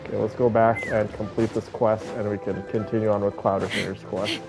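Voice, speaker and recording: A man's voice: fairly low pitch, flat delivery, fairly quick, music in the background. A man giving casual gameplay commentary, thinking aloud and talking to his viewers. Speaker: game streamer playing and commenting.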